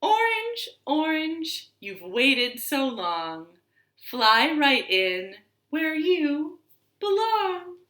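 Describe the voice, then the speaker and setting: A woman reading stories and rhymes aloud to young children in an expressive, deliberate way, recorded in a living room. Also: high-pitched. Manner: expressive, deliberate, asks the listeners questions. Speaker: children's librarian storyteller. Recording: roomy, echoing living-room sound.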